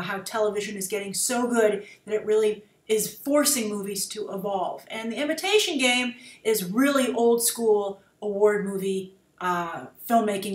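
Young woman in an echoey room. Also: mid-range voice. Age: young adult. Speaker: web show host, film reviewer. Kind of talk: off-the-cuff review to camera. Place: small plain room indoors. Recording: echoey small-room webcam sound.

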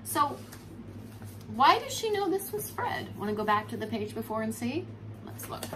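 Expressive woman reading a storybook, very lively pitch. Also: moderate pace, poor audio. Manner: expressive, explains words to children. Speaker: preschool teacher. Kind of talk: storybook read-aloud for young children. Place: furnished room at home, indoors. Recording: clear background noise.

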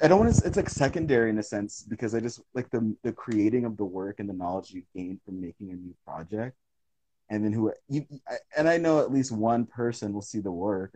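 A man's voice: on the low side, warm tone, husky, dry recording, low-quality recording. A young man in casual conversation on a live video call, speaking thoughtfully and rambling with frequent fillers and restarts, recorded close to a phone indoors at home. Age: young adult. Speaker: artist in conversation. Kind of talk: casual live-stream chat. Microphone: phone close to face on a video call.

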